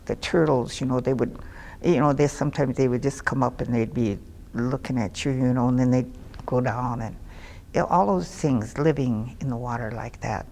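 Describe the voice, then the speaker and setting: An older woman, a community elder from Minnesota, recalling the river in a reflective, unhurried way with many fillers. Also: rumbling low, a little mellow. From Minnesota, United States. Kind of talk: interview recollection.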